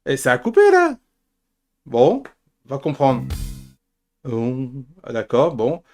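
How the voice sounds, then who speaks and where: A man's voice: medium pitch, huge pitch swings, takes it slowly, darkish tone, soft background music. A man hosting a casual, jokey chat show about geek culture in an informal way. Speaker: podcast host.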